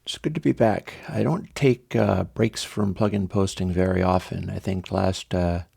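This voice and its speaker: A hoarse man casually walking through an audio plugin demo, talking in a relaxed way with many fillers and pauses. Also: sits low, no echo, studio quality. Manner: relaxed, hesitant, with frequent fillers. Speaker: plugin developer demonstrating audio software. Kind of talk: casual tutorial walkthrough.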